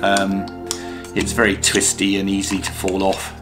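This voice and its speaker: A man presenting an unscripted product demonstration and review in a measured, hesitant way, often pausing mid-phrase. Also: low-pitched, completely flat delivery, music in the background.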